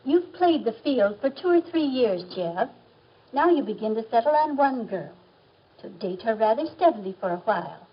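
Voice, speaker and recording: A reasonable middle-aged mom in a 1950s classroom film. Her voice is soft-edged and husky as she explains things calmly. The old soundtrack is muffled. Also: on the high side, moderate pace. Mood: gentle, advising. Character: calm, reasonable middle-aged mother advising her son. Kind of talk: 1950s educational film acting.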